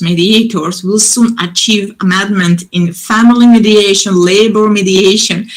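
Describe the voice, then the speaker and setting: A woman's voice: medium pitch, fairly bright tone, rough recording. Hesitant woman giving a conference talk. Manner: halting, with frequent restarts and fillers. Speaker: conference speaker.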